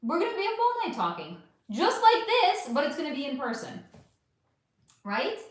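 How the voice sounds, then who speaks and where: A woman's voice: high voice, huge pitch swings, normal speed. Chatty woman in a very echoey room. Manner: chatty, profane, affectionate with viewers. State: losing her voice. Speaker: livestream host. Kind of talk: casual livestream chat.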